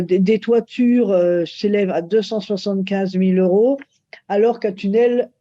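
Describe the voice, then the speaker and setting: Hesitant conference speaker, very dark tone, muffled recording. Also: medium pitch. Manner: hesitant, many fillers. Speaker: beneficiary speaker. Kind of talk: conference talk.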